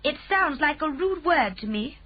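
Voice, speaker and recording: A woman's voice: high voice, expressive, muffled recording, pitched above the performer's own voice. A woman voicing a composed, gracious queen speaking in a raised, poised, proper voice. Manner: poised, measured, proper speech.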